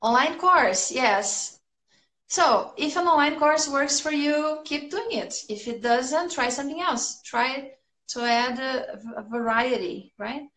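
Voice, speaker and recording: Brazilian-accented woman in her thirties, upbeat English teacher chatting to viewers; slightly high voice, echoey home room, phone up close. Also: slow, pushed and bright. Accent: Brazilian Portuguese. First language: Portuguese. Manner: chatty and upbeat, laughing often. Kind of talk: casual livestream chat answering viewers' comments. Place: small room at home, indoors.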